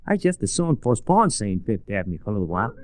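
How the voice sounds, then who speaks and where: A man's voice: on the low side, big pitch swings, fast, darkish tone, dry recording. A man playing a breezy, streetwise American sidekick in 1940s radio drama, with slangy, folksy talk. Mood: eager. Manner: slangy, folksy, plain-spoken. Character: breezy, streetwise, loyal male sidekick of an adventurer.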